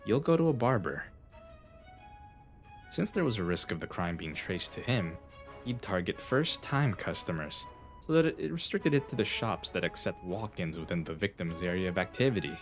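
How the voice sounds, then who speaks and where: A man playing an eccentric young genius detective, intense and flippant, in an anime fan dub. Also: low voice. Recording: voice over background music.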